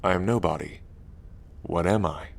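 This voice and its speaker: A man playing a lonely ancient dragon king in roleplay ASMR, proud yet bashful, with halting, deliberate phrasing. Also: low-pitched, gravelly. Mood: playful, challenging. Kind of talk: roleplay ASMR audio drama.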